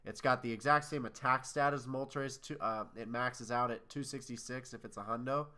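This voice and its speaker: A man's voice: medium pitch, fairly even intonation, normal speed, sharp tone. A man co-hosting a casual podcast chat, sounding nasal and congested from a cold, rambling and correcting himself as he talks. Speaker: podcast co-host.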